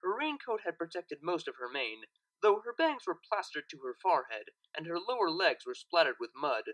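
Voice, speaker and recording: A woman reading a scripted story aloud as narrator, with expressive delivery. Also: fairly low pitch, fairly quick, poor audio. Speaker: fan fiction narrator.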